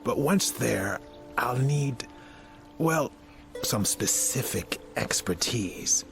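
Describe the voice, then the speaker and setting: An actor playing a weary, bitter middle-aged academic mentor with a gravelly, hesitant delivery in a video game. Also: male voice, medium pitch, animated, slow pace. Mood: hopeful, earnest. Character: weary, bitter, bookish male scholar and former mentor. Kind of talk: video game cutscene acting. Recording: music under the voice.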